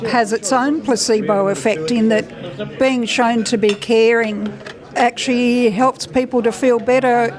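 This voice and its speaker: A woman speaks in a fairly high voice against clear crowd chatter. She answers like an interviewed expert, conversational yet measured, explaining things carefully. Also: fairly even intonation. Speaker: doctor and guest speaker being interviewed.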